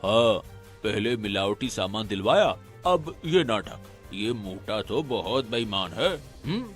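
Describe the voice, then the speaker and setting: A man voicing an earnest, grave village man in a cartoon, formal and expressive. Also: lowish voice, slow pace, soft background music. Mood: disgusted.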